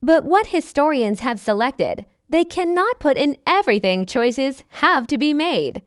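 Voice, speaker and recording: Woman, scripted read-aloud, high-pitched, animated intonation, measured instructional delivery, very dry sound. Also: no echo. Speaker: narrator of English test practice passages.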